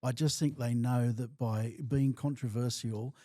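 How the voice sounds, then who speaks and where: Mellow-voiced man reasoning on a podcast. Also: mid-range voice, fairly even intonation, a little slow, no echo, studio quality. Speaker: podcast co-host. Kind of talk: casual sports podcast chat.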